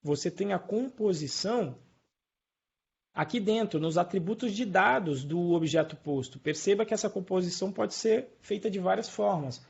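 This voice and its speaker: A Brazilian man gives an informal live programming lesson in a fairly high, gravelly voice. He explains in a colloquial way and often checks that his listeners follow. The recording sounds dull and lo-fi. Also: normal speed, darkish tone. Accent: Brazilian Portuguese. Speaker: programming teacher. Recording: dull lo-fi online stream.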